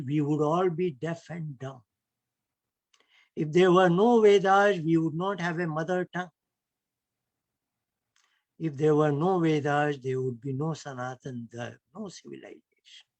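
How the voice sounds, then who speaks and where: Man, Indian English accent, online lecture, emphatic, rhetorical tag questions, slightly high voice, slow delivery, dry close sound. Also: a little mellow, no room sound. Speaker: lecturer at an online heritage camp.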